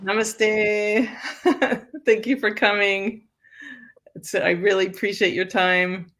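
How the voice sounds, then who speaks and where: Halting older woman hosting a talk show. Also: mid-range voice, level tone, fairly bright tone. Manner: halting, with many fillers and restarts. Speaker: host. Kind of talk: casual interview.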